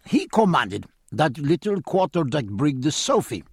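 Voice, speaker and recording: A man's voice: measured pace, slightly rough, no room sound, studio quality, exaggerated voice. A man voicing an effusive, flamboyant naval captain. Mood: admiring. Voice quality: raised pitch, lively and flamboyant.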